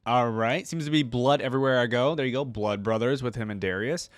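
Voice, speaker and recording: A man's voice: medium pitch, a little fast, no room sound, clean studio sound. A man hosting a reaction video, commenting casually in an easygoing, amused way.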